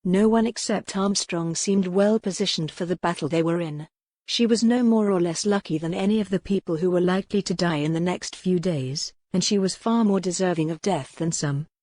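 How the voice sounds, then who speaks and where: A woman's voice: fairly low pitch, moderate pace, darkish tone, no room sound, clean studio sound. A woman reading scripted, dramatic historical romance narration as an audiobook narrator.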